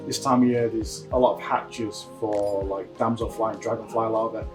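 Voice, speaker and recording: A man's voice: on the low side, fairly even intonation, normal speed. A man from South Yorkshire answering interview questions about fishing, upbeat and confident. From South Yorkshire, England. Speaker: angler competitor. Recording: distant mic, faint music behind.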